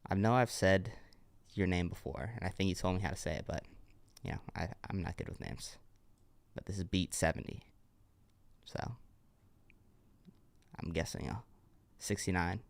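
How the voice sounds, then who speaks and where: A man's voice: low-pitched, moderate pace, vocal fry, long pauses, dry recording. A young man with a congested voice, giving laid-back, encouraging feedback on music submissions during a livestream. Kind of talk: casual livestream commentary.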